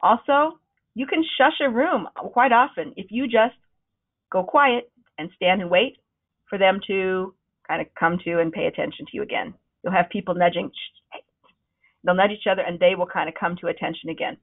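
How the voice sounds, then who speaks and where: A woman leading a webinar on presenting, with a loud, projecting voice that is starting to wear out, talking in a conversational, encouraging way with frequent ums. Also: medium pitch, moderate pace. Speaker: presentation trainer. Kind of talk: webinar talk. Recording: narrow, phone-like webinar audio.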